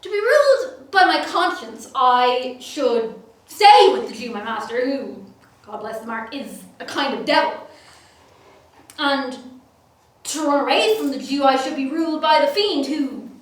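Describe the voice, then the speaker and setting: A woman playing a comic young male servant, talking through a lively stage soliloquy in an echoey hall from far off. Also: high voice. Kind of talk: stage acting.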